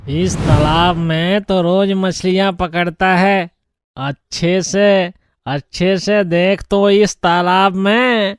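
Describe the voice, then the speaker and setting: A man voicing a shrewd elder-brother ghost in a cartoon story, chiding in an incredulous, drawn-out manner. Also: high voice, fairly even intonation, smooth, dry recording, studio quality. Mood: scolding. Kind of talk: Hindi cartoon story acting.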